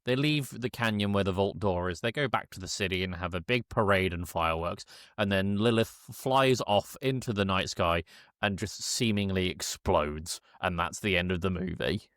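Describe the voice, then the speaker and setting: A man co-hosting a podcast, giving a casual comedic film recap in a halting, irreverent way with mock-quoted dialogue. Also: low voice, fairly bright tone, smooth, no echo, clean studio sound. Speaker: podcast co-host.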